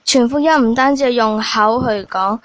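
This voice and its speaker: A woman playing a stern high-voiced squad captain. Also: moderate pace, dry recording, lightly acted. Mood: commanding. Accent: Cantonese. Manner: bossy, scolding. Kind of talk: amateur church skit.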